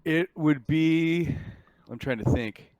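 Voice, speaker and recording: A man's voice: a little high, slow pace, a little mellow, no room sound. A man acting as game master, talking casually and thinking aloud in a hesitant way. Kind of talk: casual tabletop game talk.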